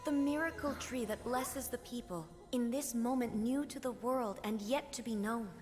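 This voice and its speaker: Brief: actress as a serene, kind goddess, soft and unhurried, fairly high voice, strong game score behind. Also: female voice, natural voice. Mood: tender. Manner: soft, measured, ceremonial delivery. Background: game soundtrack music.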